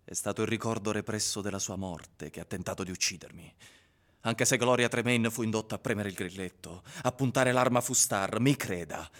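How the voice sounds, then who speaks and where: An actor playing a haunted man recounting his ordeal in a measured, theatrical literary radio reading. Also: lowish voice, fairly bright tone, natural voice. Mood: insistent.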